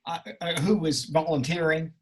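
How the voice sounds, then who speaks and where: A man's voice: fairly high pitch, fairly even intonation, moderate pace, slight background noise. A man casually hosting a group reading, thinking aloud and encouraging the readers as he organizes parts and stage directions.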